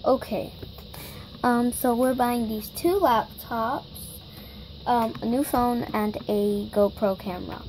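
A woman's voice: fairly high pitch, slow, a little mellow, a little hiss or hum, poor audio. A girl improvising a toy shopping scene, chatty and bossy as she runs the story. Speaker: toy video maker. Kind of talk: improvised toy play-acting.

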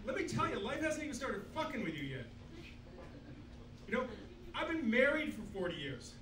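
A man's voice: very high-pitched, sing-song, moderate pace. A man in his sixties doing a crude, self-deprecating stand-up set on a small club stage, holding a mic. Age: 60s. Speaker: stand-up comedian. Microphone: handheld mic, filmed from far back. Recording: echoey club, distant camera, noisy.

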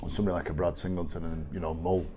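A middle-aged man with an English accent, a rugby coach answering post-match press questions in a measured, halting way with many fillers. He sits at a table in a small press room. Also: low-pitched, level tone, noisy background. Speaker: rugby league head coach. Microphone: table microphone.

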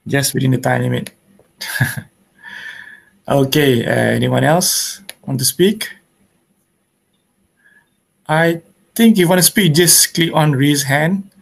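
Creaky-voiced man hosting an online meetup. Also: mid-range voice, takes it slowly, pauses often. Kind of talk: casual event hosting. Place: indoors at home on a video call. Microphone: video call microphone.

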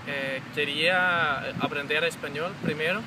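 A young man in his twenties from Denmark, speaking a learned second language with a Danish accent, talking slowly and haltingly to camera in a garden, often restarting and searching for words. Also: a little high, steady tone. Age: 20s. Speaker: exchange student. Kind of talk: testimonial to camera. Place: outdoors in a garden. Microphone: camera mic at close range. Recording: loud outdoor noise.

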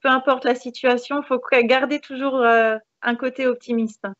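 A woman's voice: high-pitched, steady tone, normal speed, fairly bright tone, dry recording. A woman in her thirties giving conversational interview answers in an upbeat way over a video call. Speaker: interview guest, hair salon manager. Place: indoors in a room with a beamed ceiling. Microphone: video call, device mic. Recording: dull, lo-fi video call audio.

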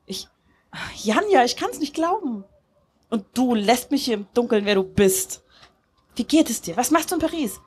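A slightly husky Russian woman musician played by an actress in a live audio drama. She is startled and nostalgic, speaking as an old friend would on a stage microphone. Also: on the high side, big pitch swings, moderate pace, darkish tone. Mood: delighted. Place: tented festival stage.

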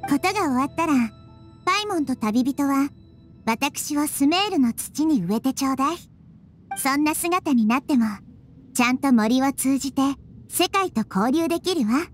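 A woman voicing a young girl goddess, calm, gentle and wise, who speaks softly and with composure. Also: sits high, normal speed. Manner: soft, composed, reassuring. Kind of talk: Japanese game voice acting. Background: game music.

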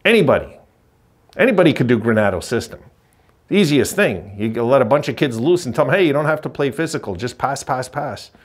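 A man's voice: medium pitch, expressive, a little fast, breathy edge. A middle-aged man hosting a hockey fan channel, giving a frustrated, emphatic postgame rant straight to camera and hammering his points by repeating them. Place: indoors, green-screen backdrop.

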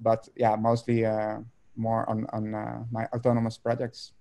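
Hesitant Dutch man with a mellow voice. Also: on the low side, monotone, normal speed, gravelly. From Netherlands. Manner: hesitant, many fillers and restarts. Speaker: artist guest. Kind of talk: video call interview. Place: home studio room. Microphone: video call.

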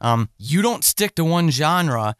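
A man's voice: on the high side, sing-song, slow pace, clear, resonant tone, dry recording, studio quality. A man hosting a casual interview podcast, feeling his way through questions and rephrasing them as he goes. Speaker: podcast host interviewing a writer.

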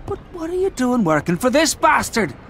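A man's voice: very high voice, big pitch swings, moderate pace, fairly bright tone, slight background noise, full character voice. A man playing a bitter, goading dockworker in a video game, slangy and accusing.

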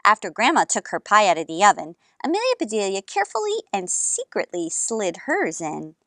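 A woman in her thirties hosting a children's storytime, narrating in an expressive, animated way. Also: mid-range voice, brisk, dry recording, studio quality. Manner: animated, gesturing.

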